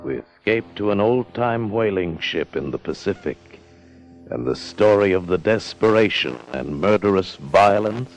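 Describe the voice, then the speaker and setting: A man giving a dramatic scripted introduction as a 1950s radio announcer. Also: deep voice. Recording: muffled old radio broadcast with music.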